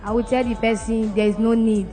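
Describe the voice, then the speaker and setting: A woman's voice: fairly high pitch, flat delivery, a little fast, warm tone, soft background music. Earnest Nigerian woman giving testimony. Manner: plain, earnest, with restarts.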